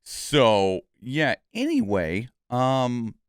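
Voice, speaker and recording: Clear-voiced man rambling through casual reaction commentary. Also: medium pitch, huge pitch swings, very slow, no room sound, studio quality. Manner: rambling, thinking aloud, conversational. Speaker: streamer and reaction video host.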